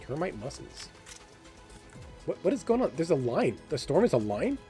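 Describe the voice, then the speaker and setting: Casting: male gaming host, relaxed mellow tone, chatty and keen, thinks aloud over faint music. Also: fairly high pitch, moderate pace. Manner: enthusiastic about the game. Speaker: gaming video creator and host. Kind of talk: casual gameplay commentary.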